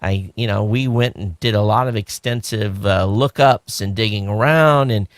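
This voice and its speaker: A man co-hosting a podcast, talking in a relaxed, rambling way with many fillers. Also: fairly low pitch, normal speed, no room sound, clean studio sound. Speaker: podcast co-host. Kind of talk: casual chat.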